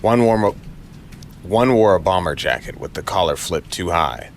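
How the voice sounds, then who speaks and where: A man's voice: deep voice, animated, moderate pace, a little hiss or hum. A man narrating a noir crime audio drama in a brooding, dramatic way with short clipped phrases.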